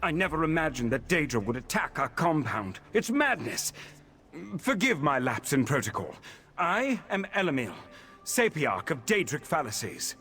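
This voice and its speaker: An actor playing a distraught, courtly scholar of magic in a video game, with a formal, flustered, theatrical delivery. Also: male voice, a little high, big pitch swings, normal speed, bright, forceful tone. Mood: shocked, distraught. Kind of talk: video game character dialogue. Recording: game music behind.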